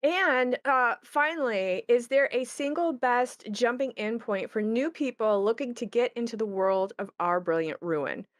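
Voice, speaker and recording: A woman's voice: on the high side, lively intonation, a little slow, few pauses. A woman chatting casually and with enthusiasm as a game creator moderating a panel.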